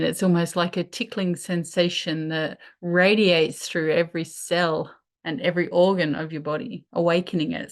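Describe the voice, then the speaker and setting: A woman leading a guided meditation, speaking in a soothing, unhurried way with pauses between phrases. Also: fairly low pitch.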